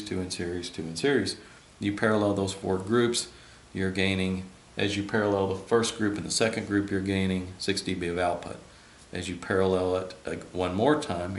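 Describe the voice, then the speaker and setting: A middle-aged man with a very low voice talks through technical material like an informal instructor. His phrasing is measured, with stops and starts. The room adds clear echo, and a faint hiss sits behind him. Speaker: host and loudspeaker designer explaining. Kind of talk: informal technical explanation to camera. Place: indoor listening room with large speakers. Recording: roomy echo, audible hiss.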